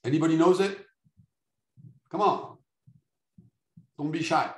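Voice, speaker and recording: A man who grew up speaking French leads a lively lecture, asking his students questions and urging them to answer. His voice sits a bit high for a man. The sound is roomy and echoey. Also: unhurried. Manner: energetic, engages audience with questions and prompts. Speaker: guest lecturer.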